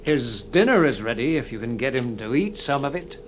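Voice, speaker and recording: A man's voice: medium pitch, big pitch swings, normal speed, a little hiss or hum, lightly acted. A man playing a matter-of-fact, officious prison keeper in a radio drama. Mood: questioning.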